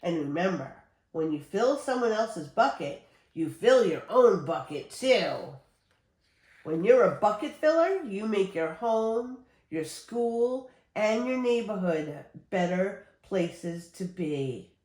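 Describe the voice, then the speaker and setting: Older woman reading slowly, very animated. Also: medium pitch. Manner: simple, deliberate phrasing aimed at young children. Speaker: storyteller reading to children. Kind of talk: expressive read-aloud of a children's picture book. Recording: roomy echo with background noise.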